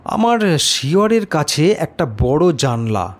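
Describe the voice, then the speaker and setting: Male audiobook narrator for a first-person horror tale: very expressive, swooping intonation, unhurried and dramatic. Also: fairly high pitch.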